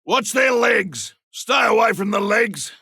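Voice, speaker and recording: A seasoned Australian monster hunter for a video game, cocky and colloquial. The voice is gravelly and pushed fairly high for a man, with a forceful bark in a dry, close recording. Lines come as blunt field callouts. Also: expressive, slow, dry recording, full character voice. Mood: shouted warning. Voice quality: gravelly, rough. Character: gruff male monster hunter, rugged, cocky, seasoned trapper.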